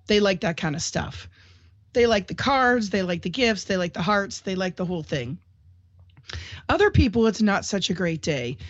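A woman hosting a solo talk show, speaking in an upbeat, chatty, encouraging way while getting over a cold and clearing her throat now and then. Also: mid-range voice, no room sound. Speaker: host. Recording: dull dry lo-fi audio.

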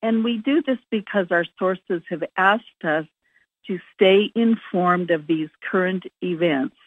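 A woman with a lowish voice hosts a conference call and introduces the next speaker. She comes through a tinny phone line with a dry sound. Her delivery is smooth and orderly. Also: unhurried, dry recording. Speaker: host.

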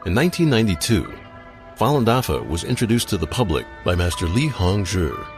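A man with a deep voice narrates an informational video from a script. His pitch moves in a lively way through the documentary-style read, and music plays clearly behind him. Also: fairly quick.

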